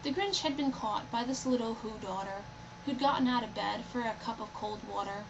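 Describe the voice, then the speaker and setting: A woman reading a rhyming storybook aloud with expressive narration. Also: a little high, moderate pace. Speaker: storybook reader. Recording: dull, lo-fi, loud background noise.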